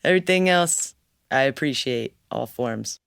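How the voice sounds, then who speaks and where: A musician guest with a slightly raspy voice, chatting easily and laughing often in an interview. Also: medium pitch, animated, a little slow, fairly bright tone, dry recording, clean studio sound. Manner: easygoing, laughs often, talks freely. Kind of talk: casual interview chat.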